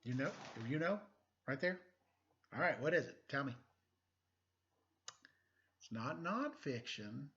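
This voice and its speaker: A man's voice: medium pitch, lively intonation, takes it slowly, pauses often, sharp tone, highs cut off. A middle-aged man reading a story aloud for children in a playful, quizzing manner. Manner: playful, quizzing young listeners. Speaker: story-time teacher reading a picture book aloud.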